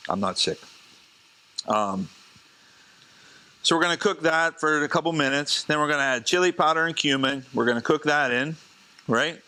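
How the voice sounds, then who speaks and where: A man, a chef, teaching a live cooking demonstration in a casual, chatty way, slightly hoarse as he is losing his voice. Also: on the high side, animated, normal speed, long pauses, sharp tone. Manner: casual, chatty, talks to the class.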